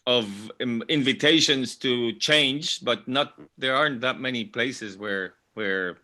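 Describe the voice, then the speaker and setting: A middle-aged man from Venezuela, heard over a video call. His voice is bright and clear. He speaks thoughtfully and circles back with repeated words. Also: mid-range voice, slow pace. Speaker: panel guest. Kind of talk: online panel talk.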